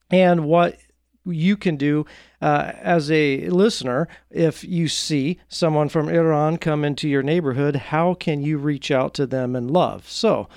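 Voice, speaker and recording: A man hosts an interview podcast in a friendly, chatty way, asking questions and tossing in light jokes. His voice sits a little higher than most men's. The sound is close and dry. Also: darkish tone, no room sound. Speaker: podcast host. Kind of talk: conversational interview.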